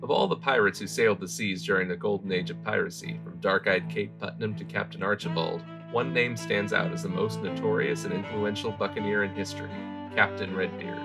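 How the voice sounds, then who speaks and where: Male documentary narrator, low voice, gravelly edge, measured scripted storytelling, soft music behind.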